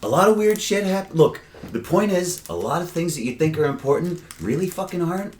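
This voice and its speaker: A man improvises casual dialogue as a player in a tabletop game. He is blunt, slangy and a little sardonic, with a pitch that moves freely. The room is echoey, with faint noise behind him. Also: animated, brisk.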